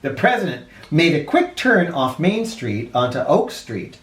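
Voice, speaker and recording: Animated man reading a storybook, very lively pitch. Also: a little slow. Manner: theatrical, playful. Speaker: children's storybook reader, host. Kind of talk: animated read-aloud narration with asides. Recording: roomy echo.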